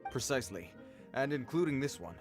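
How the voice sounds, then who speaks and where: A man as earnest, animated, awkward patrol guard. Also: mid-range voice, fairly quick, lightly acted. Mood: concerned. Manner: formal, hesitant, earnest. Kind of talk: video game voice acting. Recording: music bed behind the voice.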